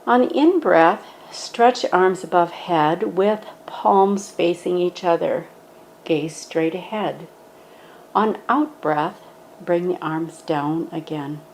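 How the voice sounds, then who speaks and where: A woman gently guiding a standing yoga meditation, speaking in a calm, instructive way. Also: fairly low pitch, takes it slowly, slight background noise, low-quality recording.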